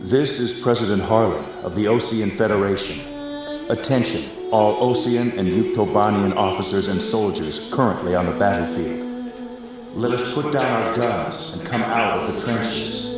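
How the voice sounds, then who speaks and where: An actor playing a solemn, resolute president in a video game, giving a formal, measured and earnest speech to soldiers. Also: male voice, lowish voice, natural voice. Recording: echoing distant public address, music behind.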